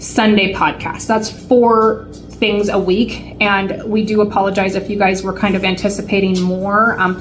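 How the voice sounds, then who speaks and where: A woman's voice: medium pitch, slight background noise. A woman in her late forties co-hosting a vlog, chatting casually with lots of fillers and restarts. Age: 40s. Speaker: vlog co-host. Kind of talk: casual chat to camera.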